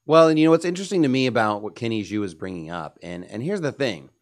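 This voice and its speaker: A man's voice: medium pitch, animated, quick pace. A man giving emphatic, opinionated religious commentary as a panelist in a discussion.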